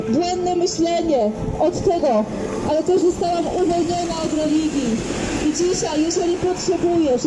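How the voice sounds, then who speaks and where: A woman's voice: very high-pitched, heavy background noise. A young woman preaching on the street at an open-air market, speaking into a handheld microphone with fervent, repetitive declarations. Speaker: street evangelist. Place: outdoor open-air market by a busy road. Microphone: handheld microphone, far camera.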